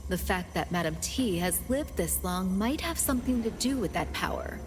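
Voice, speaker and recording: A woman playing a shrewd, self-assured strategist in a video game, persuading in a confident, deliberate way. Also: mid-range voice, lively intonation, noisy background, lightly acted. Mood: calm, persuasive. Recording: prominent music behind the voice.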